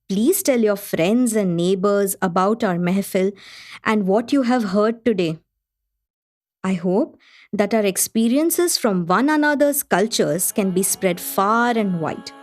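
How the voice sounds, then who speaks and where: Gracious medium-pitched hostess of a literary gathering. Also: female voice, darkish tone. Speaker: host. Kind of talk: scripted radio play hosting.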